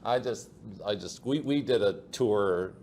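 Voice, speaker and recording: A man's voice: lowish voice, unhurried, a little mellow. A middle-aged man hosting an interview, chatty and repetitive, often tagging "okay?" onto his sentences. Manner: chatty, repeats words, adds tag questions like "okay?". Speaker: podcast host. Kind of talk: conversational interview. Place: conference venue. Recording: faint background noise at a conference.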